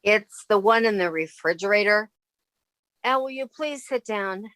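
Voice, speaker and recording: A woman's voice: mid-range voice, a little slow, a bit bright, no room sound, lightly acted. A woman playing a practical, sceptical young roommate in a radio comedy. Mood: exasperated. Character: sensible young woman, exasperated roommate Jane. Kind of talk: 1950s radio comedy acting.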